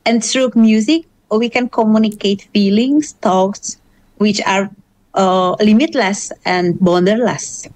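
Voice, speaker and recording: A middle-aged woman from Hungary with a Hungarian accent, a guest in an interview who explains her views carefully and pauses often on "uh" while searching for words. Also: mid-range voice, darkish tone. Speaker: interview guest, gamelan singer. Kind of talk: thoughtful interview answers.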